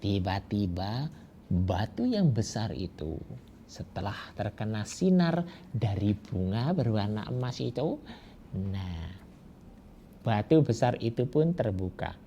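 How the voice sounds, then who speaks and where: A man tells a folk tale slowly to children. His mellow voice rises and falls a great deal, and he pauses on rhetorical questions. The recording sounds dull and lo-fi, with a faint hiss. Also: fairly low pitch, very animated. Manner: slow, drawn-out, with rhetorical questions. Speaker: storyteller.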